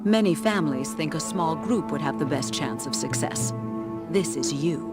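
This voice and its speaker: An actress playing an earnest, righteous townswoman who gives quests in a fantasy video game, speaking formally and gravely. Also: low-pitched, expressive, normal speed. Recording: loud music under game dialogue.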